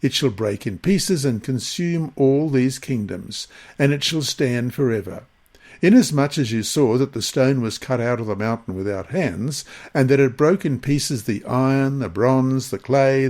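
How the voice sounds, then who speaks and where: A man reads a Bible lesson aloud. His delivery is solemn and measured, with deliberate phrasing, in a voice of medium pitch. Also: a little mellow. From Queensland, Australia. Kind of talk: scripted scripture reading and narration.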